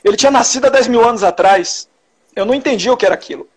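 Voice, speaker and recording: High-voiced Bahian man, rambling. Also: very fast, slightly rough. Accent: Bahian Portuguese. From Salvador, Bahia, Brazil. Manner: rambling, frequent restarts and tag questions. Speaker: journalist guest. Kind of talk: casual interview conversation.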